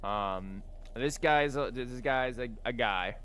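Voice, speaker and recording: A man's voice: medium pitch, slow, fairly bright tone, clean tone. A man giving casual, rambling and wry commentary as he livestreams a video game. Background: game music.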